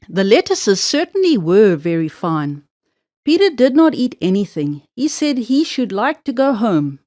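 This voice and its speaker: Female storyteller for children's read-aloud, very animated pitch, measured phrasing with drawn-out key words, dry recording. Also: no room sound. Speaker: storybook narrator.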